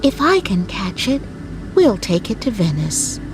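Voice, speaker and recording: A woman plays an impulsive, tender-hearted lady on holiday in a bedtime story. Her voice sits high, earnest and full of exclamation. She speaks over clearly audible music. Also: very animated, a little slow. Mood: determined. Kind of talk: storybook character voice.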